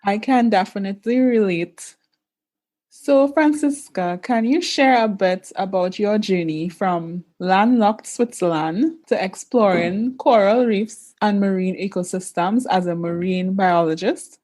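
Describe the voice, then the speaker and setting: A young woman from the Caribbean hosts a podcast, reading listener notes and guest introductions in a formal, polished manner. Her voice sits at a middle pitch and is steady. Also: a little slow. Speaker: podcast host. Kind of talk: scripted podcast intro and interview.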